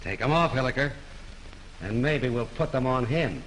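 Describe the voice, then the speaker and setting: A man's voice: mid-range voice, lively intonation, normal speed, a bit bright, slight background noise, natural voice, low-quality recording. An actor playing a brusque, sarcastic male police lieutenant who questions people bluntly, in 1930s film style. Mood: gruff. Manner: blunt, needling interrogation.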